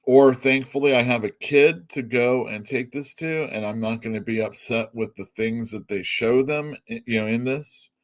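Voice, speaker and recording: A middle-aged man talks casually and bluntly as a comic shop host, voice sitting at an ordinary middle pitch. He rambles and restarts, swears without a second thought, and laughs at his own jokes. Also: normal speed, few pauses. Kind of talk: casual opinionated chat.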